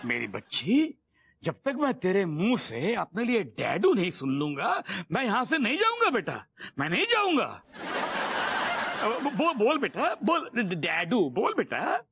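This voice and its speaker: A man's voice: sits high, wildly swooping pitch, unhurried, gravelly, fairly bright tone, breathy edge, full character voice. A man voicing a doting, emotional old father who talks in a melodramatic, pleading way.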